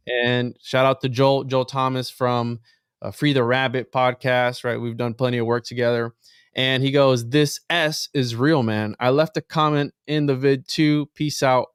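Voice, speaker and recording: A man's voice: mid-range voice, smooth, no room sound. Clean, dry-sounding male podcast host. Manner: informal, conversational, addresses listeners directly. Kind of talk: casual talk, reading listener messages aloud.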